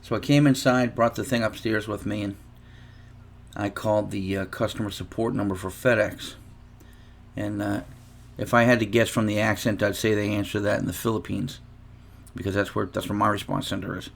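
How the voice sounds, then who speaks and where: A man's voice: lowish voice, level tone, moderate pace, gravelly. A man chatting casually in an unboxing vlog, telling a long anecdote with asides and restarts. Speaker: guitar reviewer.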